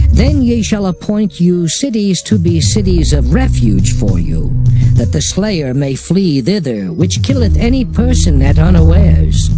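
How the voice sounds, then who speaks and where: A man narrating dramatized scripture in a solemn, declamatory manner. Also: a little high. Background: music.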